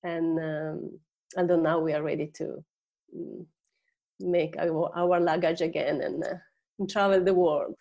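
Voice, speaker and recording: A woman's voice: low voice, steady tone, unhurried, creaky, warm tone, lo-fi sound. A woman in her forties with an Italian accent, a native Italian speaker chatting casually as a podcast guest and searching a little for words. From Italy. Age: 40s. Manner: hesitant, searching for words, often laughing. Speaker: podcast guest, life coach. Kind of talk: casual personal storytelling in an interview.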